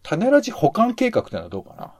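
Man, soft dark tone, animated intonation, musing explanatory co-host, casual discussion. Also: high-pitched, fast, dry recording. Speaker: podcast co-host.

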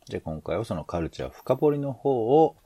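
A man with a mid-pitched, dark and relaxed voice co-hosts a casual podcast. His intonation swings freely, and the sound is close and dry. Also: brisk, breathy edge, dry recording. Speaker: podcast co-host.

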